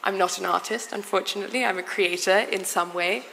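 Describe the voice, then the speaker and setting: A woman who grew up in Morocco and London answering on a panel, relaxed and direct. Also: mid-range voice, brisk, gravelly. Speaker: panelist, founder. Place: large conference hall. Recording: reverberant hall, faint noise.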